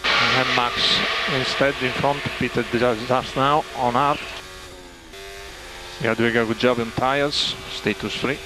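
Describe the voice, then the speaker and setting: Male race engineer, mid-pitched, clipped delivery of numbers and instructions through a noisy radio link. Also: steady tone, takes it slowly. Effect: team radio. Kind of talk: terse technical radio instructions.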